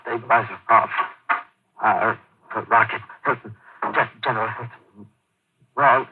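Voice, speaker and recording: An actor playing a dazed, injured colonel who mumbles in weak, broken fragments, semi-conscious, in a 1950s radio drama. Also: male voice, mid-range voice, steady tone. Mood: delirious. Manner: halting, fragmented murmurs. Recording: noisy, tinny old radio broadcast.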